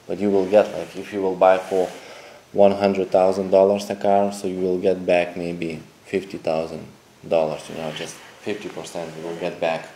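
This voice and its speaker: A young man, a dating coach making a sales pitch to camera indoors, talking in halting, repetitive, phrasing. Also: dark tone, a little hiss or hum. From Lithuania. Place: indoor room with a TV screen behind.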